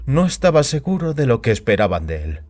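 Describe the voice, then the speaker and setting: A man's voice: medium pitch, sing-song, brisk, a little mellow. A man narrating a scripted fiction story as a dramatic audio production. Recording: music bed behind the voice.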